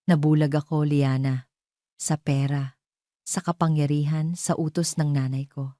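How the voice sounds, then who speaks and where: Woman reading as regretful ex-lover, male, pleading and confessional, deep register, dry story recording. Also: little pitch movement, unhurried, mellow, dry recording. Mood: remorseful, pleading. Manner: earnest, confessional. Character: remorseful man pleading with his former love.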